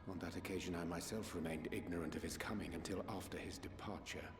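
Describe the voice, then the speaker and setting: An actor playing a solemn, learned sage who speaks in formal, archaic English for a fantasy game. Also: male voice, sits low, fairly even intonation. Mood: grave, deliberate. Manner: formal, archaic, measured diction. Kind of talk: theatrical fantasy game voice acting. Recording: roomy echo, game music behind.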